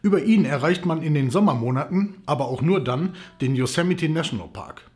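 A man's voice: on the high side, lively intonation, fairly quick, a little mellow. A man in his forties hosting a travel channel, presenting scripted narration to camera indoors. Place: indoors, living room. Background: background music.

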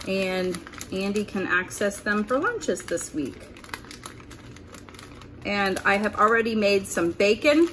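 A woman's voice: medium pitch, pushed and bright, a little hiss or hum. A woman talking informally and thinking aloud as she hosts a casual meal-prep demonstration at home. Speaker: host of a keto cooking channel. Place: indoors at home, kitchen work surface.

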